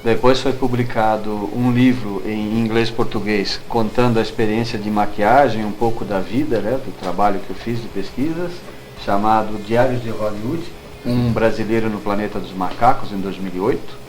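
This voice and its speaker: A man's voice: lowish voice, moderate pace, darkish tone, faint music behind, poor audio. A Brazilian man speaking as a guest author, recounting his work in an informal interview.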